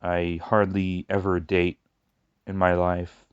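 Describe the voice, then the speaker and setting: A man with a noticeable lateral lisp talks in a low, rambling voice and keeps restarting his sentences. The recording is dry and a bit dull. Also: completely flat delivery, clean tone, no echo. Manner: rambling, halting, many restarts and fillers. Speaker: YouTuber. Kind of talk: casual personal monologue.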